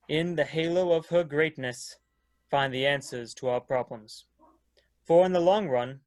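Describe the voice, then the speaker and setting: An actor playing a courtly, earnest leader of a space expedition, with a formal, old-fashioned, oratorical manner in a dramatic reading. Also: male voice, on the high side, no echo. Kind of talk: dramatic reading of science fiction.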